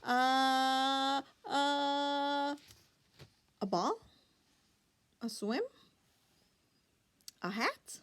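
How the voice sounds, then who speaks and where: A woman voicing a sneezy, hesitant male elephant from a picture book, halting and drawing words out. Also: high voice, fairly bright tone, heavily acted. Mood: hesitant, struggling. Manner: halting, drawn-out build-ups.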